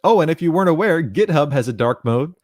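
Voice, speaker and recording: A man's voice: fairly high pitch, expressive, fast talker, a little mellow, no echo, clean studio sound. A middle-aged man giving a conversational technical presentation in an informal, reassuring manner. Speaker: presenter, software documentation content developer. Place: indoor room at home, online conference video call. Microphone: headset.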